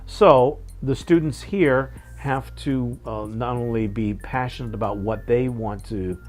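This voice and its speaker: A middle-aged man giving thoughtful interview answers in a measured, halting way, often correcting himself. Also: mid-range voice, a little mellow, faint music behind.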